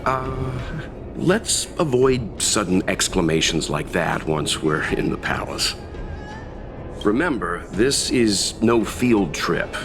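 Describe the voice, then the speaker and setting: A male actor playing a polite, reflective traveler with gentle dry humor in a video game. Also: fairly low pitch, expressive, slow pace. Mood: cautioning. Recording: music behind the voice.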